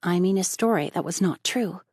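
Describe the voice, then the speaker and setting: A woman voicing a gentle young schoolteacher who speaks softly and reproachfully. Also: on the low side, moderate pace, husky, dry recording, clean studio sound. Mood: sorrowful. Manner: soft, reproachful.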